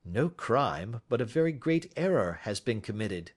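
A man voicing a shrewd male detective who speaks in a dry, assured, measured way. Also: medium pitch, big pitch swings.

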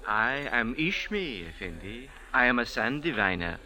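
A man's voice: mid-range voice, very animated, moderate pace, bright, forceful tone. An actor playing a humble, pleading male sand diviner in a 1940s radio drama. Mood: mystical.

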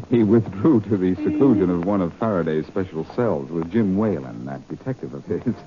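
An actor playing a wisecracking, quick-witted amateur detective in a sardonic 1940s radio style, on a muffled, noisy old recording. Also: male voice, deep voice, expressive. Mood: relaxed, teasing. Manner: glib, sardonic.

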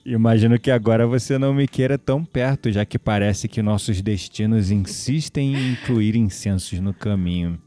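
Man acting smitten young Brazilian guy, low and mellow. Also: moderate pace, hardly any pauses, no room sound, natural voice. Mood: teasing, amused. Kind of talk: audio drama acting.

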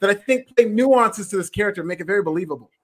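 A man's voice: sits high, expressive, very fast, a bit bright. A man co-hosting a casual wrestling review chat, easygoing and agreeable as he recaps matches. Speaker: wrestling show co-host.

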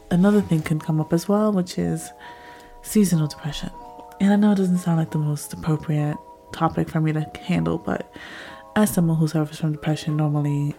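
A young woman with a raspy, slightly hoarse voice from a cold, chatting casually to her listeners as a podcast host, rambling with lots of fillers. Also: deep voice, mellow.

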